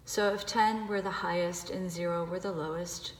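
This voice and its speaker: Woman, lowish voice, meditation guide, gentle unhurried instruction, pauses, very echoey recording. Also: fairly even intonation. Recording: echoey reverberant room with background noise.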